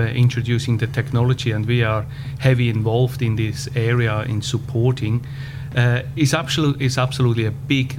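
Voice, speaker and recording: Swiss German-accented man, steady humming background. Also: mid-range voice. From Switzerland. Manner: measured, with frequent pauses and "uh" fillers. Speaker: podcast guest, business owner. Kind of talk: casual interview answers. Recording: background hum.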